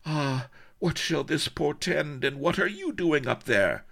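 A man's voice: fairly high pitch, expressive, a little slow, creaky, a bit bright, voice pushed up, exaggerated voice. A man voicing a vain, haughty young woman in a comic adventure story, mixing mock-archaic speech with schoolgirl slang. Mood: dramatic.

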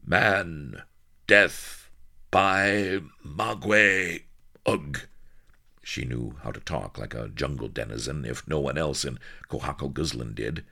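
A man's voice: extremely low pitch, slow, creaky, pushed and bright, heavily acted. A man voicing a haughty princess who fakes broken jungle speech, grunting at the end of each phrase. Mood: mock-solemn. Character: haughty young woman, a princess faking jungle talk.

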